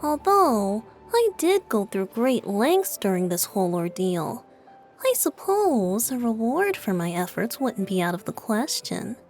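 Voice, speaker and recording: A woman's voice: a little high, big pitch swings, a little slow, darkish tone, faint music behind. A woman voicing a boyish young male bard for a video game fan dub, playful and theatrical with a teasing lilt.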